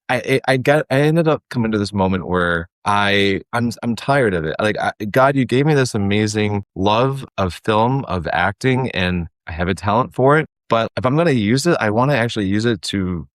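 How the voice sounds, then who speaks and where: Earnest guy with a low voice chatting casually, dry sound. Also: a bit bright, no room sound. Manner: earnest, informal. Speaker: podcast guest. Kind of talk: casual, reflective conversation.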